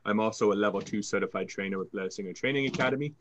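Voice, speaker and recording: Canadian man in his 30s, lowish register, confident interview guest. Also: very fast, lo-fi sound, a little hiss or hum. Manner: confident, fluent storytelling with occasional restarts. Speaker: sales coach guest. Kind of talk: interview answers.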